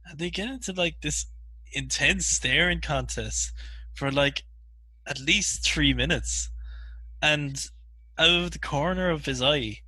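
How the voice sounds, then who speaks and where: A man's voice: on the high side, slow pace, breathy edge, no room sound, full character voice, rough recording. A young man playing an eager, chummy friend who rambles through stories in a naturalistic comedy sketch. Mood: rambling, eager. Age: young adult. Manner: excitable, matey, hesitant rambling.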